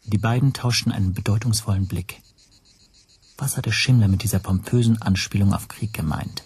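An actor playing an anxious man who narrates his own story in a radio drama, measured and introspective. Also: on the low side, a little mellow, husky. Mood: brooding. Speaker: first-person narrator.

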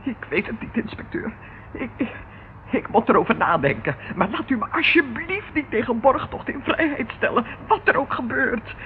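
A proud, shady suspect in a radio play, with a high voice that swings dramatically up and down. She is emphatic, and the old recording sounds dull. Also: very animated, moderate pace, slight background noise. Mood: shaken, pleading. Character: proud, shady woman under arrest, haughty but rattled. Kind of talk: Dutch radio play acting.

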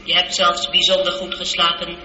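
A woman playing a polite, logical ship's computer in a science fiction radio drama, speaking in a measured, formal, precise way through a reverberant effect. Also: on the low side, completely flat delivery, sharp tone. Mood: gently matter-of-fact. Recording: echoey, dull, lightly noisy radio recording.

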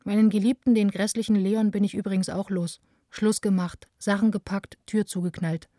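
A young woman theatre director played by an actress speaks her private letters in a soft, mellow voice, close and dry. She is wounded and self-blaming, confiding as in an intimate radio play. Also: medium pitch, little pitch movement, normal speed, no echo, lightly acted. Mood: dejected, confiding. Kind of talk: radio drama, letter read aloud.

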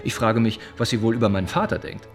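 An actor playing an earnest, gently reproving young gentleman in a radio drama, speaking in thoughtful, formal phrases. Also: fairly low pitch, steady tone, quick pace, creaky, music in the background.

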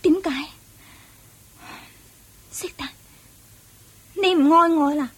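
A tender high-voiced Cantonese princess. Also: female voice, slow. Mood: hurt, pleading. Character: tender, devoted young princess, wife and mother. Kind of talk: radio drama acting.

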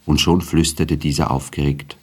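A man narrating a literary radio drama in a measured, formal storytelling manner. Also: rumbling low, steady tone, darkish tone, slightly rough.

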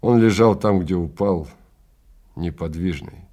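Low-voiced man narrating a story. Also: unhurried, mellow. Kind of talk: dramatic scripted story narration.

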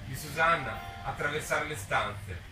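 Male live reader, expressive first-person storytelling, roomy sound with prominent guitar behind. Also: a little high, measured pace. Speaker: reader at a book presentation. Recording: roomy, distant mic, guitar music behind. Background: plucked guitar music.

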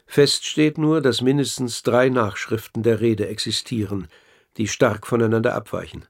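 A man's voice: mid-range voice, steady tone, moderate pace, vocal fry. Formal, probing male radio narrator. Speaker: narrator and interviewer. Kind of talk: scripted radio documentary narration.

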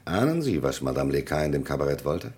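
An actor playing a shrewd police commissioner in a German radio crime drama, courteous but relentless, with formal, commanding questioning. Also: male voice, very deep voice, sing-song, fast talker, a little mellow, full character voice. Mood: probing, authoritative.

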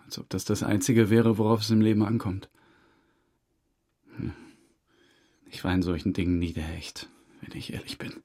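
A low, husky male voice plays an evasive suspect in a radio drama. He talks haltingly and breathily, defensive and embarrassed about himself. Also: warm tone. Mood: resigned. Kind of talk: radio drama interrogation dialogue.

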